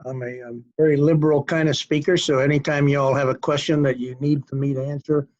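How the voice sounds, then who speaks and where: A man in his seventies telling stories as an interview guest in a folksy, unhurried, wry manner. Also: a little high, flat delivery, a little mellow, highs cut off. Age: 70s. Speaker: cardiologist guest. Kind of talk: conversational storytelling interview.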